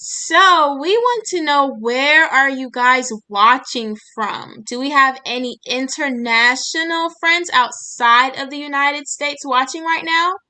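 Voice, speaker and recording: A woman's voice: high voice, takes it slowly, hardly any pauses, bright, forceful tone. A woman co-hosting a live event, reading out quiz questions to the people watching.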